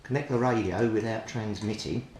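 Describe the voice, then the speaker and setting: Low-voiced man in an echoey room. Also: moderate pace, a little mellow. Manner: methodical, thinking aloud. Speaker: hobbyist radio repairer presenting his progress. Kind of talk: hands-on repair walkthrough, unscripted commentary. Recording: roomy echo, clear rumbling background noise.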